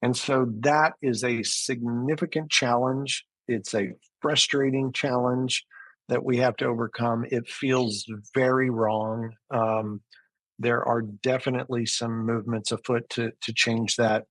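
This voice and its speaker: A man's voice: medium pitch, flat delivery, measured pace, a bit bright, dry recording, rough recording. A man giving explanatory, conversational answers as an interview guest, often restarting his phrases. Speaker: interview guest, physician and business founder.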